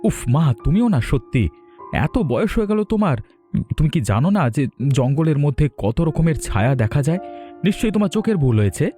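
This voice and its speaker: A man voicing a young adult son in a cartoon, concerned, soothing and gently skeptical. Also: a little high, sing-song, a little fast, soft background music.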